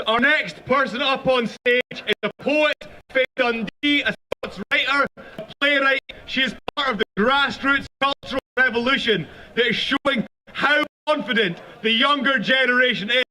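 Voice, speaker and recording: A man's voice: very high voice, sharp tone, a little hiss or hum, poor audio. A man acting as compere at an outdoor rally, enthusiastically introducing the next speaker. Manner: enthusiastic, rousing. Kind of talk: stage introductions.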